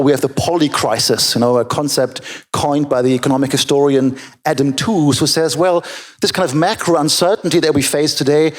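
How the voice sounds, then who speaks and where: A man moderating a conference panel, introducing guests and posing questions in a measured way with occasional fillers. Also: mid-range voice.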